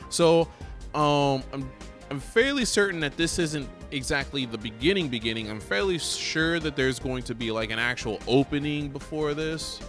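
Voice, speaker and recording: A man giving casual reaction commentary in a musing, halting, rambling way, as if thinking out loud. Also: fairly high pitch, lively intonation. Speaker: reaction video host. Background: loud video game cutscene music and sound.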